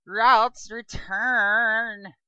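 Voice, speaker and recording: A performer voicing an earnest, excitable boy trainer in an amateur dramatic reading. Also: medium pitch, drawn-out words, smooth, dry recording, dull audio, voice pushed up. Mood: battle shouts.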